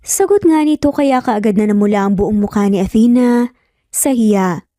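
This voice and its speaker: A woman's voice: on the high side, moderate pace, mellow, no echo, clean studio sound, soft background music. A woman with a Filipino accent narrating a scripted story in a dramatic style.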